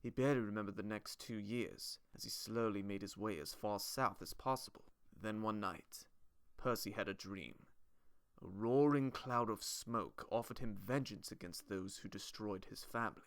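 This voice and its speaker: A man's voice: on the low side, moderate pace, clean tone, no echo. Man narrating dramatically, close dry recording. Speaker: fan dub narrator. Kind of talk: scripted dramatic fantasy narration.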